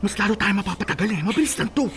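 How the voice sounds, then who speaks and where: A man's voice: high voice, expressive, very fast. A man acting a demanding, short-tempered husband in a radio drama. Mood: insistent, impatient. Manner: insistent, demanding.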